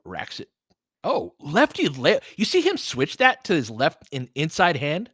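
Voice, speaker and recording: A man calls a basketball game play by play in an informal fan style. His voice is bright and forceful, and his pitch swings widely as he gets worked up and swears freely. The recording is dry, with no room sound. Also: unhurried, slightly rough, no echo. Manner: excitable, whooping and swearing, partisan. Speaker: play-by-play commentator and host.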